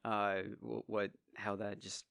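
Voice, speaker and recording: A man in his forties talks on a podcast in a loose, rambling way, cursing now and then. His voice sits fairly low and sounds a little hoarse. The sound is dry and close. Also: level tone, slow, fairly bright tone, no echo. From United States. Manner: loose and rambling, swears freely. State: slightly hoarse. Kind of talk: casual podcast chat.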